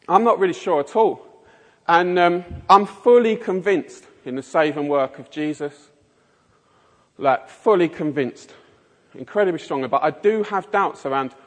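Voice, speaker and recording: A man with a Mockney, south-east English accent gives a chatty, self-mocking sermon in a church. His voice sits a little high for a man. It carries a long reverb from the hall around him. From Kent, England. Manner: chatty, rambling, self-mocking, many fillers. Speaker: lay preacher. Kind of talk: informal sermon with self-deprecating jokes.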